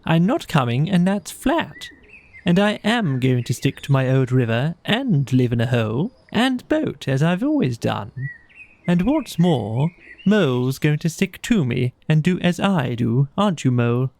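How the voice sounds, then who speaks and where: A man's voice: on the high side, very animated, a little slow, vocal fry, darkish tone, full character voice. A man voicing a stubborn, loyal water rat in a children's audiobook, dogged and emphatic. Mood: defiant, stubborn.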